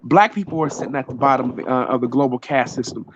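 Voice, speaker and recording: A man calling into a debate, measured and insistent about getting to finish his point. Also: mid-range voice, dull audio, background noise. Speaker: guest on a call. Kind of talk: casual debate.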